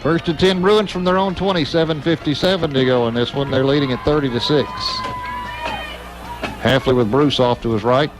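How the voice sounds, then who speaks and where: A man calling high school football play-by-play for radio, in a brisk, steady voice. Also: over music, crowd noise, rough recording.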